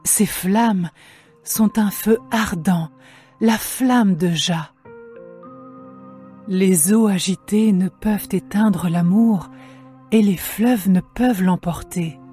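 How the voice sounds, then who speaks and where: A woman playing a tender, devoted young woman in love, in a slow, measured dramatised scripture reading. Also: medium pitch, mellow, soft background music, lightly acted. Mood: solemn, devoted.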